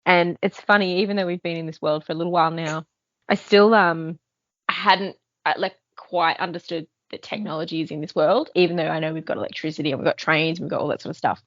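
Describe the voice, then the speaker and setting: A woman's voice: sits low, fairly even intonation, fast, no room sound. A woman co-hosting a podcast, chatting casually and enthusiastically about books. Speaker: podcast co-host. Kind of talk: casual book discussion. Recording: dull, band-limited sound, dry.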